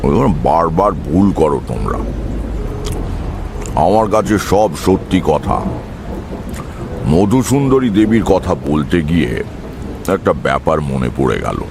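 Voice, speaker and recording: A man's voice: sits low, expressive, gravelly, heavily acted. A man playing an amused, mysterious middle-aged tantric who tells his tales in a knowing way in an audio drama. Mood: reminiscing, earnest. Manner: unhurried, knowing, chuckling raconteur. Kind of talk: dramatised audio story acting. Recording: music under the voice.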